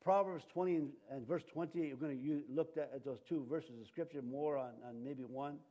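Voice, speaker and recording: Rambling pastor with a mellow voice. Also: male voice, a little high, a little fast, highs cut off. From Canada. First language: Cree and Ojibwe. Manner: rambling with many fillers and restarts. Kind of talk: sermon. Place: church.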